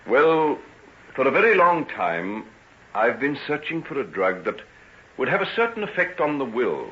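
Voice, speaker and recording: An actor playing a guarded Victorian gentleman doctor in an old-time radio drama, with measured, hesitant answers. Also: medium pitch, very animated, lightly acted. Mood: earnest, careful. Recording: dull vintage radio recording.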